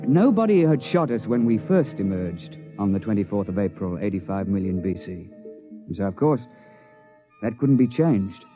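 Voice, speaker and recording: An actor playing a wry, unflappable British big-game hunter in a 1950s radio drama, speaking in clipped, matter-of-fact understatement. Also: male voice, on the low side, very animated, normal speed, warm tone. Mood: explanatory, firm. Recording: muffled 1950s radio broadcast, music behind.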